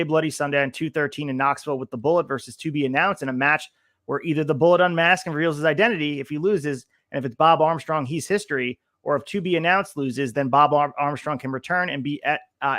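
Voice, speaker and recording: A man's voice: a little high, quick pace, a bit bright, dry recording, clean studio sound. A man hosting a podcast in casual chat, upbeat, reading from old notes and asking his co-host questions. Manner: upbeat, asks questions, reads notes aloud.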